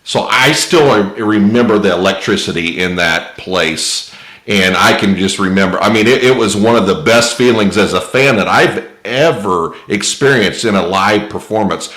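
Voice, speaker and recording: A middle-aged American man hosting a casual podcast interview, talking in a halting, rambling, fan-like way. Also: fairly low pitch, fairly bright tone. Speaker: podcast host. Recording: roomy echo on the voice.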